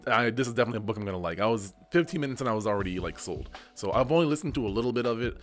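A young adult man hosting a casual solo podcast, hoarse from losing his voice, rambling and correcting himself as he goes. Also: fairly low pitch, lively intonation, rapid-fire, soft background music. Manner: rambling, self-correcting, laughs often. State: hoarse, lost voice. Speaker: podcast host and esports coach.

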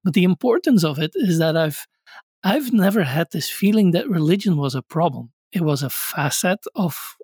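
A man from Belgium, a scholar of religion, answering interview questions in a thoughtful, measured way. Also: fairly high pitch, expressive, darkish tone, husky, no room sound, clean studio sound.

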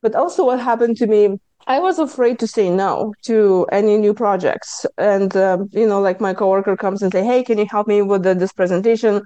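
A woman's voice: mid-range voice, a little fast, few pauses, clear, resonant tone, dry recording, lo-fi sound. A woman with a Lithuanian accent, a stress management coach telling her own story in an earnest, candid way on a podcast. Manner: earnest and candid, breaks into lively self-quotes. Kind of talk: personal story in a podcast interview.

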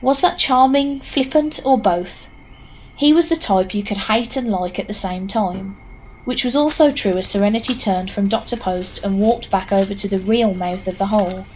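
A woman's voice: medium pitch, moderate pace, a little mellow, a little hiss or hum, natural voice, rough recording. A woman narrating a horror audio drama as a wry storyteller. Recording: faint outdoor ambience behind.

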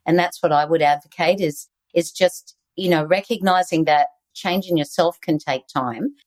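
An Australian woman, a guest author on leadership, giving earnest, animated interview answers over a video call. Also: deep voice, normal speed.

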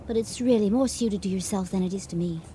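An actress playing a shy, bookish, lovestruck young woman in a radio drama, earnest and halting. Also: medium pitch, dark tone, lightly acted. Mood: determined. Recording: noisy 1980 radio drama recording.

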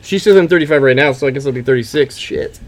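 A man's voice: medium pitch, fast. A talkative musician in his mid thirties chatting casually in an interview, rambling along in slangy, informal speech. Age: mid 30s. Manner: informal, slangy, calls listener bro and man. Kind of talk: casual chat.

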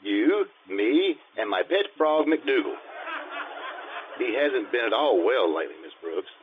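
A man's voice: mid-range voice, very animated, a little slow. A man playing an earnest, naive, mild-mannered fellow in an old-time radio sitcom, with an eager, guileless delivery. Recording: tinny, noisy radio-style recording.

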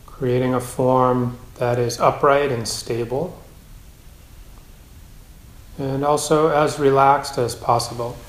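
A man teaching a guided meditation in a measured, gentle way, with long pauses between phrases. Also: medium pitch, fairly even intonation. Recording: roomy, echoing space.

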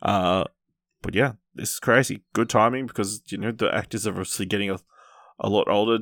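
A man's voice: lowish voice, steady tone, fairly quick, vocal fry, a bit bright, no echo, clean studio sound. A man co-hosting a podcast, chatting casually through film and TV news. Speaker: podcast co-host.

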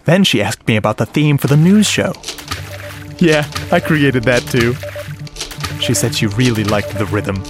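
A man playing a shy, earnest, nerdy sound-effects man, telling his story conversationally and haltingly in a radio drama, with a wistful manner. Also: medium pitch, natural voice. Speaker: narrator and lead character. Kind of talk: first-person radio drama monologue. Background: music and sound effects.

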